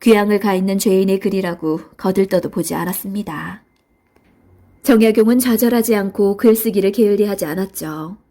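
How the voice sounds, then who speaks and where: A woman's voice: medium pitch, dark tone. A woman narrating a children's storybook in a scripted read-aloud style.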